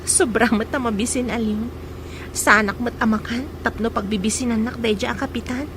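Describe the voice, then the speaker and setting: A woman playing a gentle, hopeful young woman in love, speaking softly and earnestly in a radio drama. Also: fairly high pitch, fairly even intonation, normal speed, noisy background, lightly acted. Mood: light.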